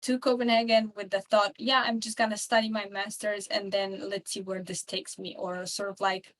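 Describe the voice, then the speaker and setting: A woman's voice: medium pitch, little pitch movement, moderate pace, a bit bright, low-quality recording. A woman in her twenties from Romania, chatting as a podcast guest and rambling with many fillers and restarts. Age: 20s. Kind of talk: casual interview chat.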